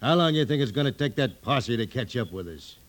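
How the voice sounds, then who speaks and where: An American actor playing a hard, defiant, needling outlaw in an old-time radio western, clipped and taunting. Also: male voice, mid-range voice, animated, a little fast, natural voice. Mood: callous. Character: hard, callous, defiant male outlaw spoiling for a fight.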